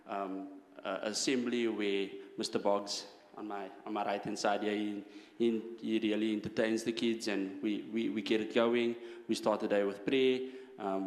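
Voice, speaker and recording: A man, a volunteer soccer coach, talks through a camp day in a friendly, rambling way. His voice sits a little low. The room is roomy with a long hall reverb. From South Africa. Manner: friendly, rambling, many fillers. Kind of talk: casual spoken account.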